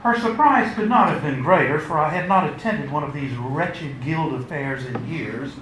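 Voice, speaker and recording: Guy reading a short story live, theatrical n unhurried, bright voice, echoey room w faint noise. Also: a little high. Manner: measured, theatrical, wry. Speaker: author reading aloud. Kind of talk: dramatic literary reading. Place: indoor launch event before an audience.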